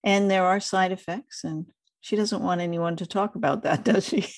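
A woman's voice: fairly low pitch, fairly even intonation, normal speed. A woman hosting a podcast, interviewing a guest in a conversational style.

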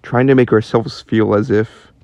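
A man's voice: lowish voice, steady tone, moderate pace, darkish tone, no echo, highs cut off, natural voice. A man playing a lost, world-weary and sceptical wanderer in a radio play. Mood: weary, downcast.

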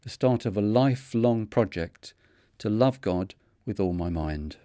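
Mancunian man, mellow measured narration. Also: on the low side, expressive, no room sound, studio quality. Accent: Manchester. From North of England. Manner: measured, earnest storytelling. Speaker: podcast host. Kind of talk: scripted reflective narration.

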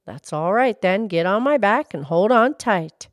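A woman voicing a big, kindly talking fish, gurgling and measured, in a storybook tale. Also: mid-range voice, animated, dry recording, clean studio sound, pitched below the performer's own voice, close to an everyday voice. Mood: obliging.

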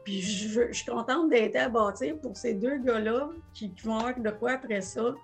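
A woman's voice: on the high side, moderate pace, gravelly, soft background music. A woman in her sixties with a Quebec French accent, a business co-owner talking plainly in a casual podcast conversation, often restarting her phrases. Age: 60s.

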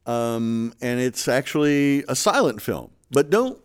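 A man of middle pitch hosts a casual film podcast. He wanders through film history, pausing on "uh" and restarting his sentences. His voice sits in an ordinary register, neither high nor low. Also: sing-song, smooth. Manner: hesitant, many fillers and restarts, talks at length about film history. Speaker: podcast host.

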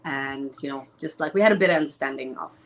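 A young woman from Zimbabwe, a guest in an interview, talking in a lively, chatty way with many restarts. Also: a bass voice, quick pace. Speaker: interview guest, former reality show contestant. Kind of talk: casual chat.

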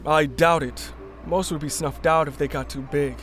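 Man playing a higher-voiced, stubborn wounded rebel agent. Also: steady tone, music in the background, close to an everyday voice. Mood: insistent, reasoning. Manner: insistent, measured explaining. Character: injured, stubborn but reasoning male rebel agent. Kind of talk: sci-fi radio drama acting.

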